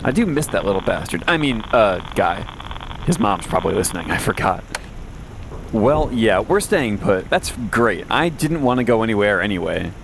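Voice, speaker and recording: A man's voice: on the low side, very animated, normal speed, creaky, noisy background. A man playing a wry, weary post-apocalyptic radio host who rambles conversationally through a late-night audio drama monologue. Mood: wry, chatty. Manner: conversational, wry, rambling asides and self-corrections.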